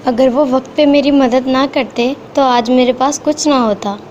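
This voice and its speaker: A sweet, earnest little cartoon bird, voiced by a woman, with a high-pitched voice. She is polite and kind-hearted. There is slight noise behind her. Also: steady tone, moderate pace, darkish tone, dull audio, natural voice. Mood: earnest, grateful. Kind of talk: Hindi children's cartoon dubbing.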